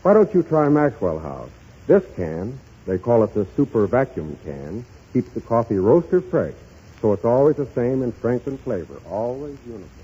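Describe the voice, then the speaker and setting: An actor playing an earnest, formal man of business in 1930s radio style, measured and explanatory. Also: medium pitch, sing-song, warm tone, slightly rough. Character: earnest, formal male grocer and broadcasting official. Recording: muffled 1930s radio recording.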